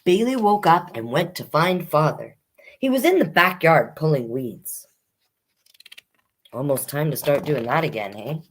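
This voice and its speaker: A woman hosting a children's storytime, reading picture books aloud expressively and adding chatty asides. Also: low-pitched. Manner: expressive, unhurried, comments on the story as she reads.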